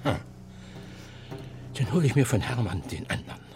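An actor playing a sly, menacing widower in a radio drama, terse and needling. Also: male voice, medium pitch, lively intonation, moderate pace, darkish tone, soft background music, close to an everyday voice. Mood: guarded, sly. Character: sly, menacing widower holding a woman captive.

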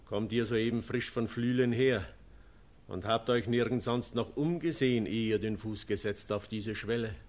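An actor playing a cautious, fearful older village elder in a classic German radio play, speaking in hushed, anxious tones. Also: male voice, fairly low pitch, fairly even intonation, moderate pace, close to an everyday voice. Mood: grave.

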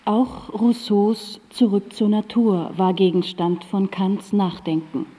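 Mellow woman narrator with long reverb. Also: mid-range voice, slow pace, slightly rough. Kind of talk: scripted documentary narration in radio drama. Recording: reverberant hall sound.

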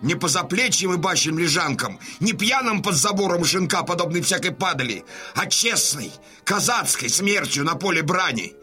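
A man's voice: on the high side, lively intonation, normal speed, sharp tone, soft background music. A man playing a defiant old Cossack colonel, grave and oratorical, giving commanding speech in an audio drama. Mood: rousing. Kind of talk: Russian audio drama acting.

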